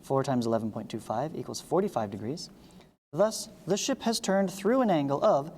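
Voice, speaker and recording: A woman's voice: sits low, animated, moderate pace, dark tone, in a large hall, slight background noise. A woman reading a lesson aloud as a teacher, explaining step by step with short asides. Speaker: math teacher, video host.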